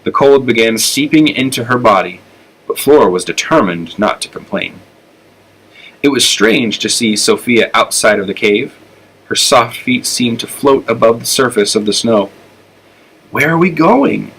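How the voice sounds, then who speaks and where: A man reading a children's story aloud with expressive narration. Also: medium pitch, moderate pace, low-quality recording. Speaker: elementary school storyteller.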